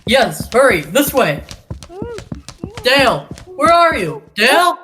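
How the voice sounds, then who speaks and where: A man's voice: very high-pitched, sing-song, slow, bright, forceful tone, a little hiss or hum, full character voice. A young man playing a brave athletic American hero in an old-time radio serial. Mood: concerned. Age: teens to young adult.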